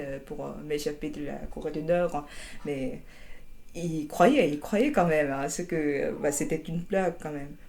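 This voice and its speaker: A young Korean woman with a Korean accent, answering interview questions in an unhurried, hesitant way, with many fillers and restarts and a dry, wry humor. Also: fairly low pitch, warm tone. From South Korea. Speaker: interviewee, master's student.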